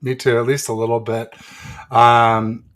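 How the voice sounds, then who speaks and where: A man with a medium-pitched voice hosts a casual sports livestream. He talks conversationally and haltingly, pausing often as he finds his next thought. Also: flat delivery, a bit bright. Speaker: host of a sports talk livestream. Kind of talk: casual sports chat with a guest and live chat.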